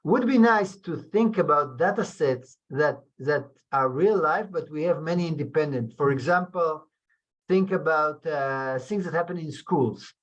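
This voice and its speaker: Male researcher commenting in a seminar Q&A, halting delivery, dull lo-fi call audio. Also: a little high. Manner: halting, many restarts and fillers. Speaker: seminar attendee, experimental researcher. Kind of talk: academic discussion.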